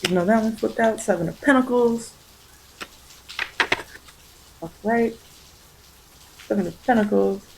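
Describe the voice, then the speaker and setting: A tarot reader giving an improvised ASMR reading, rambling and musing, often halting and circling back to repeat phrases. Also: medium pitch, gravelly, darkish tone, a little hiss or hum, rough recording.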